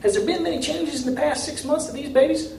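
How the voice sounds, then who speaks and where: Man acting a high-pitched folksy small-town mayor. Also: fairly even intonation, quick pace, a little mellow. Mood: eager, curious. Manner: drawling country talk with y'all and darn. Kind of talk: live novel reading. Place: reading in a room at a university. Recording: distant roomy recording, faint noise.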